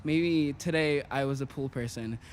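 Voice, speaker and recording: A man's voice: a little high, normal speed, a little hiss or hum. A man in his twenties from Puerto Rico, chatting casually between songs in a rambling way, full of "like" and "you know". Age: 20s. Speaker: singer introducing songs.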